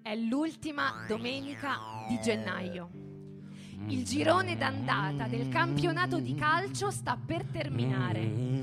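A woman reading scripted narration theatrically, in a declamatory, wry manner. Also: high-pitched. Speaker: narrator and reader on a radio reading. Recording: music under the voice. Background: music.

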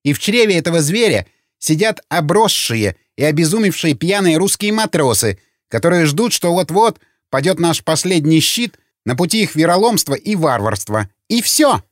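A man voicing an agitated, indignant minor official in an audiobook, ranting with emphatic, sharp-tongued delivery. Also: on the high side, sing-song, normal speed, no echo, studio quality, pitched above the performer's own voice. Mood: furious ranting. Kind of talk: audiobook character acting.

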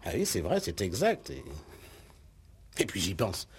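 An actor playing a formal, cautious doctor in a 1960s French radio play, speaking in a deliberate way. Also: male voice, low voice, wildly swooping pitch, a little hiss or hum, heavily acted. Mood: pensive surprise. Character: measured, cautious doctor advising a judge. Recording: old radio drama recording.

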